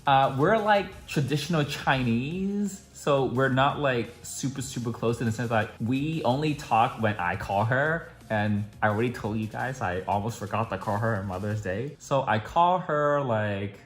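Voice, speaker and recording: A man's voice: medium pitch, moderate pace, hardly any pauses. A young man chatting casually to camera at home in a daily vlog, full of "like" fillers. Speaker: vlogger.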